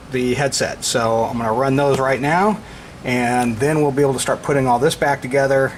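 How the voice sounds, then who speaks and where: A man giving an unscripted, halting step-by-step installation walkthrough, explaining as he works. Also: medium pitch. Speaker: DIY vlogger. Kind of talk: hands-on installation walkthrough, explaining as they work. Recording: clearly noisy location recording with ambient sound.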